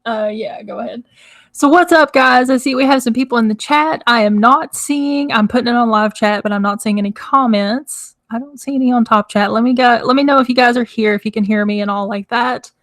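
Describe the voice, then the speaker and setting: A woman hosting a casual live stream, chatty and rambling, with a hoarse, crackly, nasal voice from congestion. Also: a little high, brisk, fairly bright tone. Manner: chatty, rambling, laughs often. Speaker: host. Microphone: microphone.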